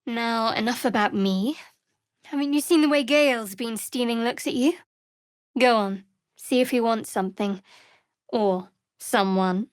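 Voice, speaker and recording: An actress playing a guarded, secretive young cleric of a dark goddess in a video game, with a measured, dry delivery. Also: female voice, fairly high pitch, clear, resonant tone, no room sound, lightly acted. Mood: wry, teasing.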